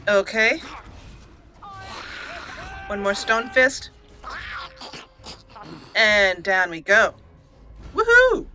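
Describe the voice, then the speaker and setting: A cheerful woman commentating her own game playthrough, chatty and upbeat, now and then reading out the game's text as a story. Her voice is bright and forceful, with faint game music playing softly behind her. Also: medium pitch, wildly swooping pitch, extremely slow, long pauses. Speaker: let's play host. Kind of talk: casual gameplay commentary with roleplay narration.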